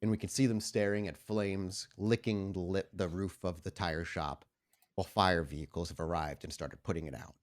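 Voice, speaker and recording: A man's voice: low voice, steady tone, moderate pace. A man narrating a tabletop role-playing game as its storyteller, in a dramatic style.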